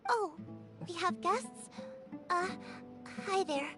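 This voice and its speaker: A very high-pitched shy little girl. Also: slow pace, over music. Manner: hesitant, polite. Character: shy, sweet young daughter, little girl. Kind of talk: video game voice acting.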